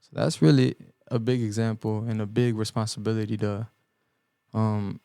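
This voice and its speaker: A young man born in El Salvador and raised in the US Midwest, a guest musician giving earnest, halting answers on a podcast, full of ums and restarts. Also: on the low side, steady tone, gravelly, dark tone, smooth, dry recording. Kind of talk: reflective podcast interview answers. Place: podcast studio.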